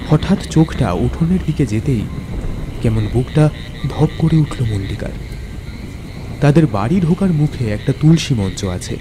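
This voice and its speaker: A man tells a scripted horror tale as its narrator. His voice is mid-pitched and dramatic, with music clearly playing underneath. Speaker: storyteller, narrator.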